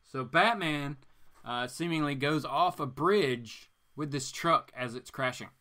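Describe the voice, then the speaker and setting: A man doing a casual dramatic reading as host, adding slangy, wry asides in an animated way. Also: a little high, a little slow. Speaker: host reading comic books aloud.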